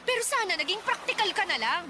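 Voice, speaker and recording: A woman's voice: extremely high pitch, sing-song, fast. A woman with a Filipino accent acting a tired, exasperated young working woman in a radio drama, sarcastic and scolding. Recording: noisy ambient background.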